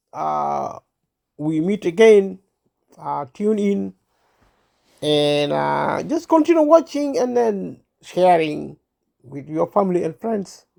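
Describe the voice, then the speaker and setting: Older man, sixty, from Uganda; solo channel host, halting and unwell, gravelly with a high voice. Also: darkish tone. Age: 60s. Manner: halting, many fillers and restarts. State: unwell, clears throat. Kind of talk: casual talk to camera.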